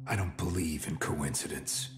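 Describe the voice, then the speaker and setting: A man's voice: extremely low pitch, normal speed, over music. A man playing a cold, sceptical interrogator in a game cutscene, controlled and probing. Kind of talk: cinematic dramatic dialogue.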